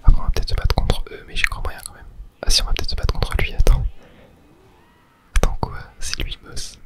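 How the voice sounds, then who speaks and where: A man's voice: brisk, bright, forceful tone. Whispering man, gaming ASMR. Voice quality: breathy unvoiced whisper. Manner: casual, murmured reactions to gameplay. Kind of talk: whispered ASMR video game commentary.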